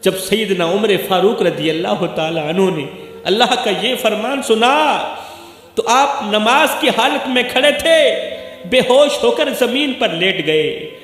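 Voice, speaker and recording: High-voiced impassioned male preacher, echoing. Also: a bit bright. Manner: impassioned, repetitive pleading delivery. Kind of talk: emotional sermon. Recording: heavy echo with long hall reverb and music.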